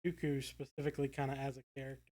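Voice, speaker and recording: A man co-hosting a podcast in casual discussion, rambling and halting as he corrects himself. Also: medium pitch, little pitch movement, darkish tone. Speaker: podcast co-host.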